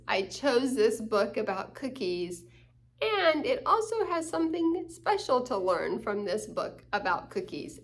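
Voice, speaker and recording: A woman's voice: medium pitch, fairly bright tone. A woman hosting a children's story time, reading a picture book aloud and explaining it with questions to the young listeners.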